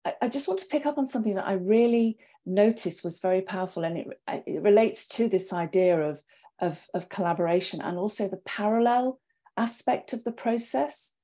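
Measured, mellow-voiced woman in discussion. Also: medium pitch. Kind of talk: reflective panel discussion. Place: small home study indoors. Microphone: earphone headset on video call.

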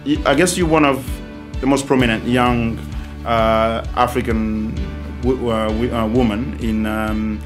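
A middle-aged man hosts a studio interview over audible music. His phrasing is halting and hesitant, and his pitch sits in a medium range. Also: steady tone. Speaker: interview host. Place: small studio, seated at a desk.

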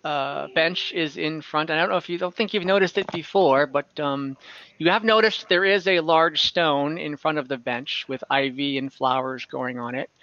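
A man runs a tabletop game as game master in a bright, slightly high voice. He improvises casually and haltingly, with some amusement. The sound is close and dry, a bit dull like video-call audio. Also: dry recording. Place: at home indoors on a group video call.

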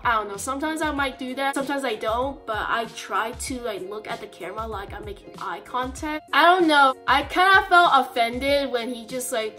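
A young woman with a fairly high voice records a casual diary vlog in a bedroom. She rambles in a halting way, leaning on "like" between thoughts. Faint music sits behind her voice. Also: sharp tone. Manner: rambling, halting, filler-heavy with frequent "like". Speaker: vlogger. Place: small bedroom, indoors.

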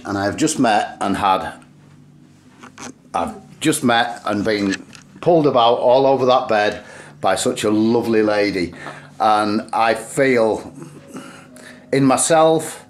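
A man chats casually to camera as a vlogger, rambling and repeating himself a little. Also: lowish voice. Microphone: camera at arm's length. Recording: very noisy camera audio.

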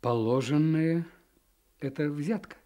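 An actor playing a kindly, honest Russian official in a radio drama. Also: male voice, a little high, slow, warm tone. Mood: thoughtful.

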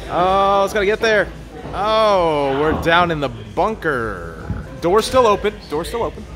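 A man's voice: sits high, sing-song, measured pace, music in the background. A man in his thirties co-hosting a golf vlog, giving playful commentary with mock sportscaster asides in an indoor golf simulator bay.

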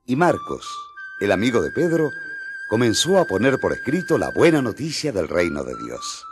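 An actor playing a solemn storyteller, narrating a radio drama with a Latin American accent. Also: male voice, mid-range voice, huge pitch swings, normal speed. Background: music.

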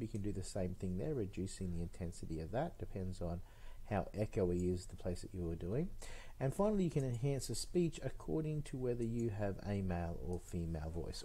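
A middle-aged man giving an informal, conversational software tutorial, explaining step by step into a headset mic. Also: lowish voice, slightly rough. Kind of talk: informal screen-recorded tutorial.

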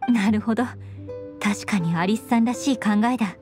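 A woman playing an earnest, composed young female knight leader in a Japanese anime game, formal and measured. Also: medium pitch, over music, close to an everyday voice. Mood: sincere.

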